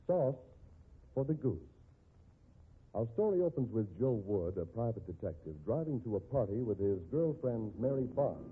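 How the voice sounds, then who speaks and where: A man's voice: medium pitch, very animated, normal speed, a little hiss or hum. A man announcing and narrating a mystery show in a dramatic, scripted 1950s radio style, on a muffled old recording.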